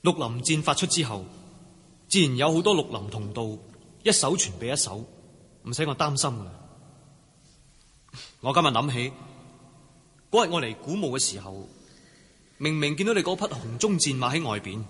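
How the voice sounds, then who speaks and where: Male, Cantonese: husky swordsman and loyal sworn brother, mid pitch, earnest and hearty, radio drama with hall reverb. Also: animated, moderate pace, long pauses, fairly bright tone. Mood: earnest, caring.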